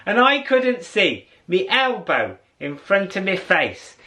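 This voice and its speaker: A man's voice: high voice, sing-song, slow, sharp tone, pitched above the performer's own voice, full character voice. A man voicing an old fisherman in oral storytelling, spinning boastful tall tales in an emphatic, folksy, insistent way.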